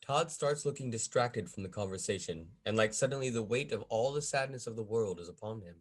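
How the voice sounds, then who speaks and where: A man's voice: fairly low pitch, expressive, a little fast. A man reading stage directions as the narrator of a script table read.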